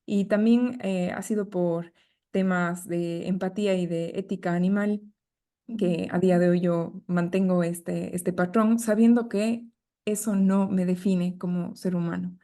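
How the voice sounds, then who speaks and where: A woman in her thirties with an Ecuadorian accent, a guest nutritionist speaking reflectively and gently, with hesitant repetitions. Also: lowish voice, steady tone, a little slow. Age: 30s. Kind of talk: conversational podcast interview.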